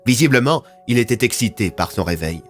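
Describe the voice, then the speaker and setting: A man's voice: mid-range voice, lively intonation, a little fast, soft background music. A man narrating a serialised audio fiction in a scripted, dramatic storytelling style.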